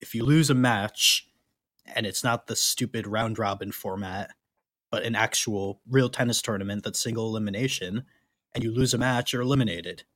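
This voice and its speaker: A man's voice: fairly low pitch, normal speed, a bit bright, no echo. A man co-hosting a podcast, discussing sports casually in a blunt, opinionated way. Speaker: podcast co-host.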